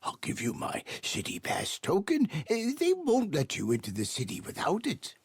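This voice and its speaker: An actor playing a frail, weary old traveling puppeteer in a game cutscene, halting and pleading, with a husky, creaky voice. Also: male voice, fairly high pitch, wildly swooping pitch, no room sound, clean studio sound, exaggerated voice. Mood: hurt, pleading. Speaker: street performer character. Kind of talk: video game cutscene acting.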